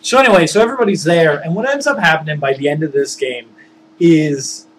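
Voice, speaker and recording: A man casually recounting his tabletop games as game master, rambling and informal, animated when he acts out what people said. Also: on the high side, a little fast. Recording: noisy background.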